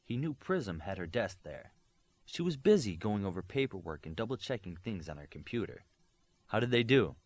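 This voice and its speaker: A man's voice: low voice, very animated, normal speed, a little mellow, breathy edge. A man narrating a work of fiction as an audiobook reader, in scripted storytelling style.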